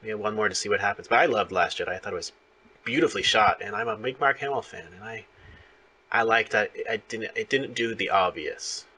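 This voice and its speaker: A man's voice: lowish voice, brisk, bright, forceful tone, slightly rough. A man in his thirties chatting casually as an enthusiastic fan, rambling and often repeating his words. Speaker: interviewer. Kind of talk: casual fan chat about films.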